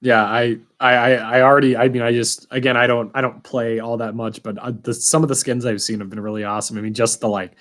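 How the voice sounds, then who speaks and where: Casual male interviewer with a mid-pitched voice. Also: level tone, quick pace, few pauses, fairly bright tone. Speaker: podcast host, interviewer.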